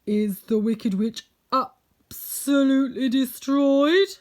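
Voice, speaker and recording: Woman putting on a loud, solemn, all-powerful wizard. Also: high-pitched, pitched above the performer's own voice. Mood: solemn, commanding. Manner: loud, slow, grandiose proclaiming. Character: solemn, booming, unseen wizard posing as all-powerful, male.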